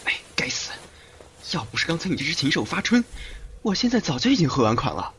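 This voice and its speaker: A man's voice: a little high, sing-song, fast, a bit bright. A man playing a breezy, cocky young lover who scolds affectionately in an amateur audio drama, on a hissy home recording. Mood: grumbling.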